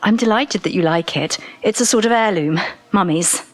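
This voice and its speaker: A woman's voice: medium pitch, moderate pace, soft background music, natural voice. A woman playing a devoted, playful, possessive British lover in an audio drama, speaking tenderly. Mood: happy, devoted.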